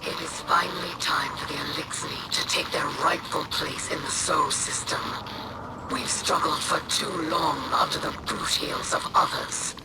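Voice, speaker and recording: Proud alien warlord villain, slow breathy hiss, game speech, loads of noise. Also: female voice, deep voice, steady tone. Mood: defiant, menacing. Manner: slow, oratorical, menacing. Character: proud, militant female alien rebel leader. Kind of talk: video game villain acting. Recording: transmission with loud noise.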